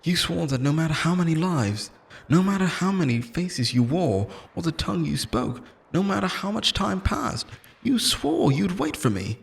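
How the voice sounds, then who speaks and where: A man's voice: a little high, big pitch swings, normal speed, vocal fry. A man playing an obsessive, lonely immortal lover in an intimate, theatrical roleplay monologue, pleading and possessive, moving between tenderness and menace. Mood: insistent, coaxing.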